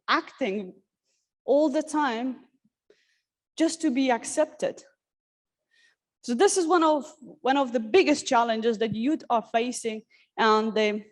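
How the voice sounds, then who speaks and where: A woman from Syria giving an earnest, halting personal talk at a workshop, with many restarts and fillers. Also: high-pitched. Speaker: conference speaker. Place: conference hall.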